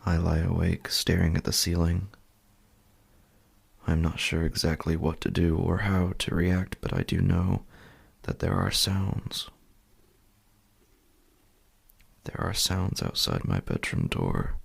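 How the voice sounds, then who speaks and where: Male actor as uneasy sleepless husband, very deep, heavy fry, hushed, halting, deliberate, first-person horror podcast narration. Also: animated, full character voice. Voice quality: gravelly, husky. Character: uneasy, sleepless husband haunted by faint night sounds.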